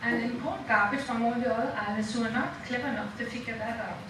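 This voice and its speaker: A woman with a Danish accent speaking as a panelist in an informal talk, halting and full of fillers. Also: fairly high pitch. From Denmark. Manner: halting, with many fillers and soft laughs. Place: lecture room. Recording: echoey room from a distant mic, noisy.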